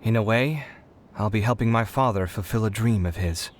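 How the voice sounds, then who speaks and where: A man's voice: lowish voice, moderate pace, natural voice. An actor playing an earnest, easily flustered young male scholar in a video game, with a dramatic way of talking. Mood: wistful, earnest. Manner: dramatic, stammering when put on the spot. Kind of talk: video game character acting. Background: music.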